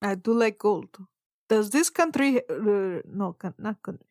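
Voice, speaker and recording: A woman's voice: mid-range voice, animated, a little slow, darkish tone, dry recording, studio quality. A woman improvising in character at a tabletop roleplaying game, casual, plaintive and mock-dramatic.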